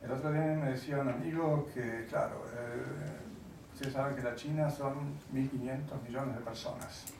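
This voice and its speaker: Gravelly man lecturing in an echoey hall. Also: mid-range voice, steady tone, noisy background. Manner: halting, searching for words with many fillers; wry, conversational. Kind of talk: lecture to an audience. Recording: echoey hall, mic at a distance.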